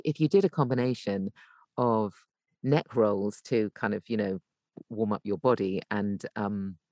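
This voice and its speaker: A woman's voice: a bass voice, darkish tone, no echo, studio quality. A woman giving explanatory advice as a guest voice coach in a podcast interview, thinking aloud and rephrasing as she goes.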